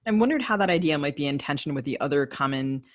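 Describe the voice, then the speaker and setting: A man's voice: fairly high pitch, lively intonation, quick pace. A man co-hosting a podcast, asking questions in a casual, conversational interview style.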